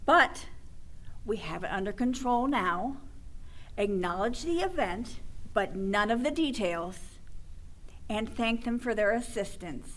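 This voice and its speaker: A woman's voice: medium pitch, lively intonation, slow, long pauses, fairly bright tone, poor audio. A woman playing a cold, commanding scientist in a radio drama, curt, controlled and authoritative. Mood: curt, businesslike. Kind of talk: superhero comedy radio drama acting.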